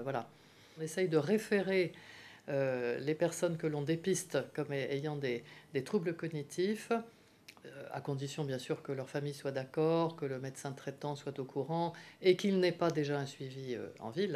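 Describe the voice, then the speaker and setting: A middle-aged woman from Paris, a geriatrics professor, explaining her department in a measured way with frequent hesitations. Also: low-pitched, warm tone. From Paris, France. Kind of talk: explanatory interview answers.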